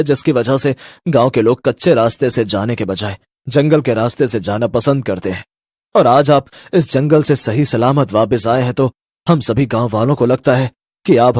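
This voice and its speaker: Man playing a deep, sorrowful village headman. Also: a little mellow, no echo, studio quality, natural voice. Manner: earnest, beseeching. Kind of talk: Hindi horror cartoon voice acting.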